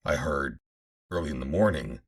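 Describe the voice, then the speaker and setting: A man reading an adventure novel aloud as an audiobook narrator, in a measured, formal storytelling manner. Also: rumbling low, expressive, slightly rough. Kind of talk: dramatic scripted narration of an old adventure novel.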